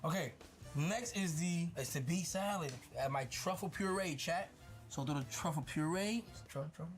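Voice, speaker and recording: A young man with a Dominican accent talks in a rapid, slangy, excited way, joking as he tastes dishes in a hot restaurant kitchen. His voice sits a bit high for a man. Music runs faintly behind him. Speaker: streamer. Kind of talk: casual food vlog chat.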